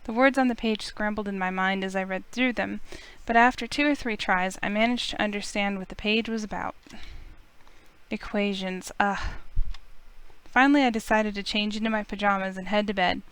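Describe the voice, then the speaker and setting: A woman's voice: mid-range voice, fairly even intonation, normal speed, a bit bright, clear, resonant tone, no room sound, close to an everyday voice. A woman voicing a dutiful, weary young heroine as she reads a visual novel aloud earnestly. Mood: reflective, tired. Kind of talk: read-aloud visual novel narration and dialogue.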